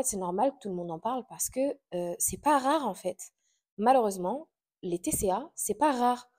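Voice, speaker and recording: A woman in her late twenties, originally from Portugal, talks straight to her listeners in a relaxed, confiding podcast chat. Her voice is mellow and warm, sitting at a middle pitch. She fills her sentences with little hesitations and soft laughs. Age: late 20s. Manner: chatty and confiding, frequent fillers and little laughs. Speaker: podcast host. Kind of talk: casual personal chat to camera.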